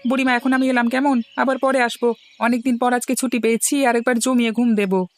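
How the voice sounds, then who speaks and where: A young village schoolboy in a cartoon, voiced by a woman. He sounds high-pitched, plainspoken and helpful, with a grumbling streak. Music plays behind the lines. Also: fairly even intonation, fairly quick, natural voice. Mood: reassuring. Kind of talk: cartoon dialogue acting.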